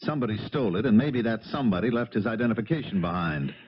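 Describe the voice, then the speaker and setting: An actor playing a shrewd, dogged police lieutenant in a 1970s radio mystery, probing and sardonic in a measured way. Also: male voice, fairly low pitch, expressive, slightly rough. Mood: firm, confident. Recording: dull, lo-fi 1970s radio broadcast.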